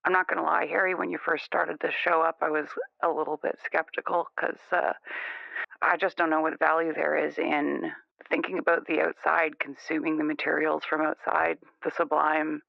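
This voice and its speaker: A man's voice: a little high, level tone, moderate pace, gravelly, no room sound. A man playing a defensive, conformist young caller, insistent and rambling, in an improvised radio call-in drama. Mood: uneasy, defensive. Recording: band-limited, muffled phone-in radio sound.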